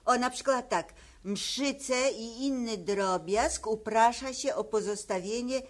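An actress playing a polite, practical storybook gardener in a children's audio play, asking courteously and a little hesitantly. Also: female voice, a little high, takes it slowly. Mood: polite, hopeful.

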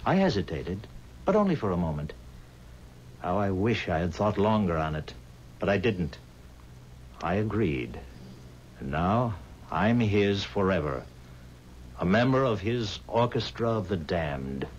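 An actor playing a haunted, pleading musician bound to the devil, speaking earnestly and formally in dramatic 1970s radio style. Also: male voice, low voice, sing-song, measured pace, pauses often. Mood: haunted, regretful. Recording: dull, hissy 1970s radio recording.

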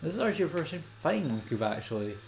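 A stuffy-nosed man giving relaxed, chatty commentary while playing a video game. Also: on the high side, very fast, creaky, a little hiss or hum. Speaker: let's play host. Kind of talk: casual gameplay commentary.

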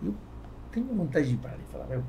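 Gravelly man with a Spanish accent. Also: on the high side, very animated, warm tone. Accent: Spanish-accented Portuguese. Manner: halting, with repeated words. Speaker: podcast guest, businessman. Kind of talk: casual interview talk. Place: small foam-padded podcast studio. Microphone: close studio microphone on boom arm. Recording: faint background noise.

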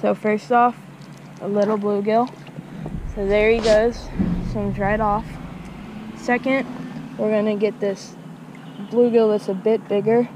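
A woman's voice: mid-range voice, little pitch movement, measured pace, long pauses, noisy background. A woman casually narrating her fishing outdoors by a small creek, recorded on an action camera, chatty and talking to her viewers. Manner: chatty, repeats words, addresses viewers as guys. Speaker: fishing vlogger.

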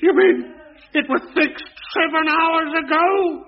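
A man's voice: extremely high pitch, slow. An actor playing a despairing, exasperated male MP in a vintage British radio sitcom. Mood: incredulous. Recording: dull, noisy vintage radio recording.

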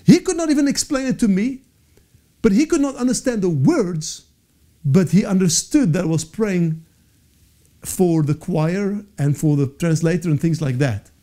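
A man's voice: high-pitched, moderate pace. Earnest Afrikaans-speaking man teaching Bible. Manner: earnest, animated hand gestures, frequent self-corrections. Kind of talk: teaching session to camera. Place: studio with dark backdrop. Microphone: lapel mic.

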